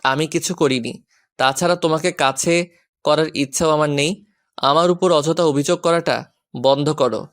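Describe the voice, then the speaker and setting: A man's voice: a little high, moderate pace, a bit bright, close to an everyday voice. Man acting teasing, grumpy young boyfriend. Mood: defensive. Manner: teasing, mock-annoyed. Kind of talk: romantic audio story acting.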